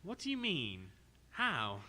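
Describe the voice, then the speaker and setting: A man acting as an earnest, cautious gentleman scientist in a radio drama, deliberate and theatrical. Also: on the high side, wildly swooping pitch, exaggerated voice. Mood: wary.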